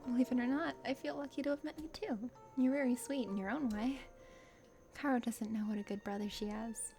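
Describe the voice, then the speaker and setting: A woman voicing a kind, earnest young aspiring knight in a breathy ASMR game reading. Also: a little high, steady tone, a little fast, close to an everyday voice. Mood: tender. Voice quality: whispery, breathy. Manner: gentle, sincere. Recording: soft music behind.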